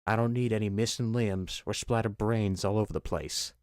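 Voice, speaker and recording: A man's voice: on the low side, steady tone, moderate pace, darkish tone, dry recording, clean studio sound, lightly acted. A man playing a firm, folksy uncle who leads survivors in an audio horror story. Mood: stern. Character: gruff, commanding uncle leading horror survivors. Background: music.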